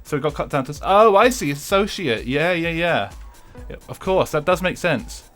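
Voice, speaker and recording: A man's voice: on the high side, lively intonation, moderate pace, a bit bright, faint music behind. A young English man chatting casually with his viewers while streaming a racing game, swearing freely and laughing often.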